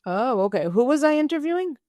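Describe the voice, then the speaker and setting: A woman's voice: high-pitched, very animated, brisk, mellow, no echo. A woman hosting a casual interview, talking informally and thinking aloud as she goes. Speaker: interview show host.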